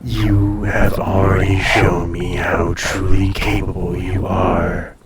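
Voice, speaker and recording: A man playing an ancient dragon familiar in an intimate roleplay audio, tender, possessive and teasing, soothing and often dropping to a breathy murmur. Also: low-pitched, level tone, takes it slowly, echoey room, distant mic, over a noisy crowd. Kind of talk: intimate roleplay audio drama, personal attention.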